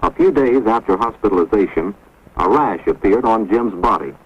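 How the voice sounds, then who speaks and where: A man giving measured, grave scripted narration for an instructional film, recorded on a dull, lo-fi 1950s film soundtrack. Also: lowish voice, expressive, slightly rough. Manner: measured, grave, authoritative delivery.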